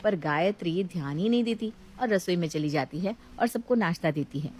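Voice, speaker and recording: A woman's voice: fairly low pitch, lively intonation, a little fast, darkish tone, slight background noise. A woman storyteller reading scripted narration of a moral family story.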